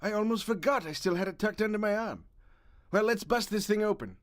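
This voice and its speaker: Man playing a pompous high-voiced newspaper tycoon. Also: brisk, close to an everyday voice. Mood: commanding. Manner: pompous, grandiose. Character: pompous, callous, wealthy male newspaper owner. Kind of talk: comedic audio drama acting.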